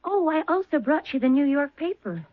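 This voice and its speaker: A woman's voice: high-pitched, very animated, moderate pace, no echo. A doting, chatty mother. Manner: homely and fussing. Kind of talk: 1970s radio drama acting. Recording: tinny old radio broadcast.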